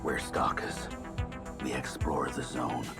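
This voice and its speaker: An actor playing a gruff, embittered male mutant stalker, with a gravelly, whispery voice, narrating a grim wasteland story in terse, deliberate phrases for a game. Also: rumbling low, flat delivery. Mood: brooding. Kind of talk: brooding first-person scripted game narration. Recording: loud music under the voice. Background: loud music.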